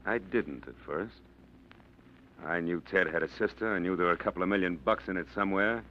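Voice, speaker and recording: An actor playing a blunt, hard-bitten FBI agent with clipped, commanding lines, in 1940s radio acting style. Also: male voice, low-pitched, brisk, a little hiss or hum, close to an everyday voice. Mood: cold. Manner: clipped, commanding, tough-guy talk. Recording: dull lo-fi old radio broadcast.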